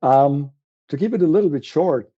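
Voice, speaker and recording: A man with a German accent, a guest on a podcast, talking in a halting way with many fillers and restarts. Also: a little high, expressive, lo-fi sound. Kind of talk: conversational interview.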